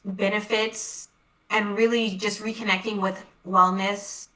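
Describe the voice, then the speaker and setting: A woman in casual conversation, talking reflectively in quick bursts. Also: mid-range voice, little pitch movement, sharp tone, recorded from a distance, poor audio. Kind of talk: casual chat.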